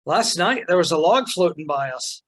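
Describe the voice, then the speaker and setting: An earnest, rustic hobbit servant, played by a woman in a fantasy script reading. The voice is rough-edged and animated, with a homely manner. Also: fairly low pitch, normal speed. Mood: uneasy. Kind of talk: table read of a fantasy script.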